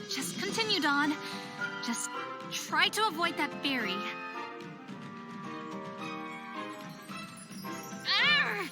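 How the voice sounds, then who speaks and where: High-pitched young skater girl, swooping expressive delivery, anxious, determined, self-doubting, game character, music behind. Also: heavily acted. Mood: frustrated. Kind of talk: visual novel game voice acting.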